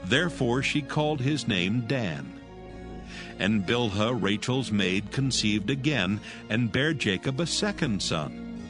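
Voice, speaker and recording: A man's voice: mid-range voice, expressive, takes it slowly, music in the background. A man narrating scripture from a script in a solemn style.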